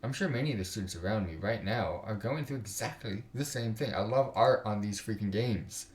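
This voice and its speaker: Deep-voiced young man streaming, roomy sound. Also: a little fast. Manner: stumbles while reading aloud, slangy joking asides. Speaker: video game streamer. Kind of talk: casual livestream, reading visual novel text aloud with side comments. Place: indoors at a computer.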